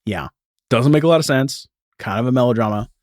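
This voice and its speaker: A man's voice: mid-range voice, fairly quick, no echo, clean studio sound. A man hosting a podcast, telling a story in a casual, informal way peppered with "like" and "you know.". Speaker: podcast host.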